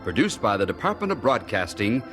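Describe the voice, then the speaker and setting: A man's voice: fairly low pitch, sing-song, brisk, fairly bright tone. A man announcing a radio drama series in a scripted style. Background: organ music.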